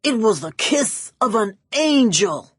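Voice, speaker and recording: A woman's voice: on the high side, sing-song, slow pace, breathy edge, no room sound, clean studio sound, pitched above the performer's own voice, full character voice. A woman voicing a middle-aged male gangster boss in an audiobook, lovesick and pushy, emphatic and pleading. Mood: infatuated, pleading. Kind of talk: audiobook character voice.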